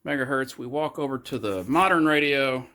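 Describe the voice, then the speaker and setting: An older man giving a casual, explanatory walkthrough in a halting way, pausing between phrases. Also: mid-range voice, pushed and bright, dry recording. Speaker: hobbyist presenter restoring a vintage radio. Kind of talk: casual narrated walkthrough and demonstration.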